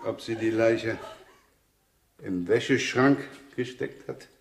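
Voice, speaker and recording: Sardonic police inspector in an echoey room. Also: male voice, on the low side, slow pace. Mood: dry, sarcastic. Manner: sarcastic, casual, needling, muttering asides. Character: jaded, teasing, scruffy police inspector. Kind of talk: 1970s German radio crime drama acting. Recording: echoey room, clear background noise.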